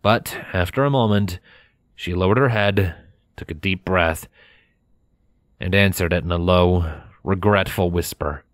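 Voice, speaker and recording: A man narrating scripted fan fiction in a measured, dramatic storytelling manner. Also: low voice, no echo, clean studio sound.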